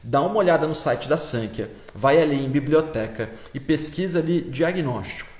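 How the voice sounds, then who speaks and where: A man's voice: a little high, animated, moderate pace. A man with a Brazilian Portuguese accent, teaching a live-stream lesson and answering viewers in a casual, explaining way with frequent check-ins. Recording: roomy echo.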